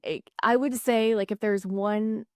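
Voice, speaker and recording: A woman's voice: on the high side, steady tone, unhurried, no room sound, studio quality. A woman guest on a podcast, explaining with frequent filler words in a casual conversational way. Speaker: neuroscientist guest.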